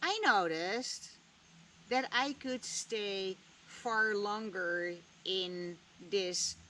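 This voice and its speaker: Dutch-born woman musing out loud super slowly, lots of pauses, candid and a bit sweary. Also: medium pitch, slight background noise. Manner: slow, deliberate, musing with long pauses; candid, drops casual swearing. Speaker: spiritual vlogger. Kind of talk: reflective personal talk.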